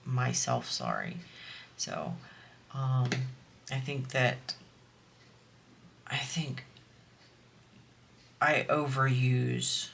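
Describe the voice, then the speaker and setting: Crafting vlogger, woman, nasal and bright, chatty and meandering. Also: rumbling low, gravelly, slight background noise. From US East Coast. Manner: rambling, chatty, slow. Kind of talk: casual chat while diamond painting.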